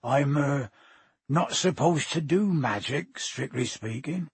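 A man voicing a gruff, friendly giant gamekeeper, hearty and proud, with husky, folksy, colloquial speech. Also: mid-range voice, lively intonation, takes it slowly, no room sound, heavily acted. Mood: sheepish, confiding. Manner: folksy, colloquial, dropped words.

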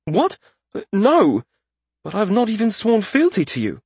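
A man's voice: sits high, huge pitch swings, normal speed, mellow, slightly rough, dry recording, pitched above the performer's own voice, full character voice. A man voicing an earnest, self-doubting young mage in an audiobook, with an indignant, pleading manner. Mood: flustered disbelief. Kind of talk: audiobook character voice.

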